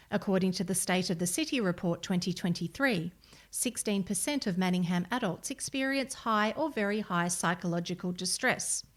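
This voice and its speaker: A woman with an Australian accent reads a scripted local news article as a volunteer narrator. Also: mid-range voice.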